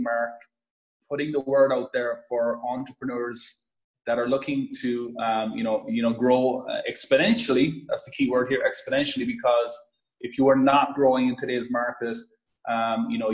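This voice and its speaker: A man from Ireland with an Irish accent, a podcast guest talking up his app in quick, eager bursts full of restarts and fillers. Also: rough recording. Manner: quick, enthusiastic pitch with many restarts and fillers. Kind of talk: casual interview talk.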